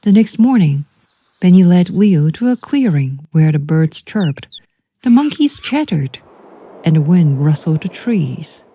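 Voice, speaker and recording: A woman narrating a children's storybook as a storyteller, with deliberate, expressive phrasing. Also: fairly low pitch, husky. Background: background music.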